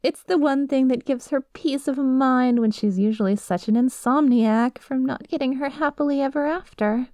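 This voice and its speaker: A woman's voice: high voice, normal speed, few pauses, a little mellow, dry recording, natural voice. A woman voicing a lovesick, obsessive best friend in an ASMR roleplay, telling her story in a coy, rambling, self-justifying way. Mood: dreamy, wistful.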